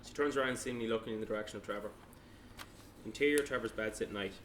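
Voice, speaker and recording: A man's voice: fairly low pitch, quick pace, a bit bright, slight background noise. A man narrating stage directions at a table read, plainly, with small stumbles as he reads.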